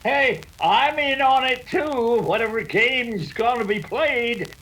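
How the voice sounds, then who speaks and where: A stammering old American rancher for a radio western, feisty and easily flustered. The voice is extremely high for a man and swoops up and down at a slow, halting pace. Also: expressive, bright, forceful tone, heavily acted, rough recording.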